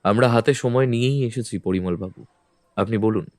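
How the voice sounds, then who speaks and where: A man playing a calm, probing detective who questions people in a measured, firm way. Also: on the low side, dry recording, close to an everyday voice. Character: calm probing male detective, middle-aged. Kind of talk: audio drama acting. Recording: faint background music.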